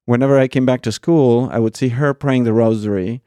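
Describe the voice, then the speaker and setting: A man from Argentina, a priest, telling his story in a thoughtful, conversational way, pausing with fillers and often checking with "right?". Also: medium pitch, dark tone, smooth, dry recording, studio quality. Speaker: priest guest.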